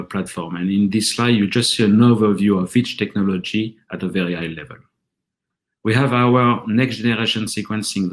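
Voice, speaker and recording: A man with a French accent giving a measured, earnest conference presentation for his company. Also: fairly low pitch. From France. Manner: earnest, measured delivery with occasional restarts.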